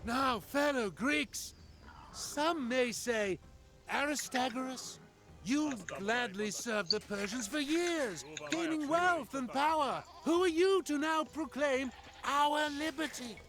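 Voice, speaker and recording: High-pitched, defiant rebel orator. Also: male voice, expressive, exaggerated voice. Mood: proud oratory. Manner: grandiose, deliberate oratory. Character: defiant Greek rebel leader, rousing orator. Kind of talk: theatrical video game voice acting. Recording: loud music behind the voice. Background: loud music.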